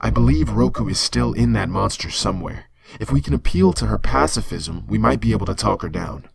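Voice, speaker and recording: A stern senior space officer played by an actor with a heavily creaky, gravelly voice. The delivery is terse and guarded, in the measured style of a disciplined crew member in a sci-fi audio drama. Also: medium pitch, lively intonation, a crowd in the background.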